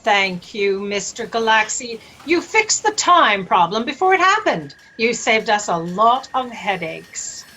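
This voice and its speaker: Bright, imperious woman boss, played by an actress. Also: fairly high pitch, sing-song, exaggerated voice. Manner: brisk, commanding. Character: imperious female editor-in-chief, curt and demanding. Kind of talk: comic sci-fi audio drama acting.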